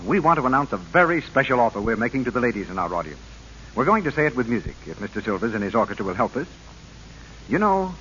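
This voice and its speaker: A man announcing on 1940s radio, delivering a polished, persuasive scripted sponsor pitch. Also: sharp tone. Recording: hissy, dull old radio broadcast.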